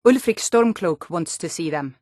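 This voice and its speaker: An actress playing a hardy, proud Nord warrior woman in a fantasy video game, with a blunt, forceful, martial delivery. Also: fairly low pitch, lively intonation, moderate pace, dry recording, studio quality. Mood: proud, resolute.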